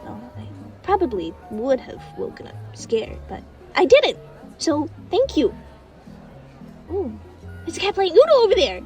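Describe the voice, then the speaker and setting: Innocent, eager young child, very animated, rambling, audio roleplay, a little off mic. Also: female voice, extremely high pitch, unhurried, pauses often, far from the mic, soft background music, heavily acted. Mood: grateful. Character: excitable, chatty, innocent young child dreamer.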